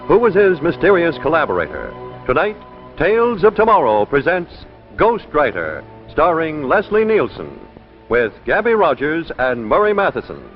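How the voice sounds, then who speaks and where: A fairly high-voiced man gives a scripted 1950s show introduction with big, dramatic swings in pitch. He is deliberate, and the old broadcast recording is muffled with faint music. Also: huge pitch swings. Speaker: announcer.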